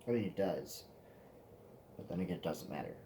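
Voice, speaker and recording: A man's voice: deep voice, expressive, brisk, mellow, a little hiss or hum. A man in his twenties, hosting his own vlog in a loose, profane, meandering casual chat. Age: 20s. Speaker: vlog host.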